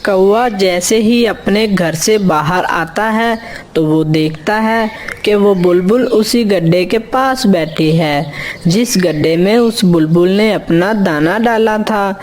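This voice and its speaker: Woman narrating a kids story, slow, medium pitch, bit of noise behind. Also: darkish tone. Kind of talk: scripted children's story narration.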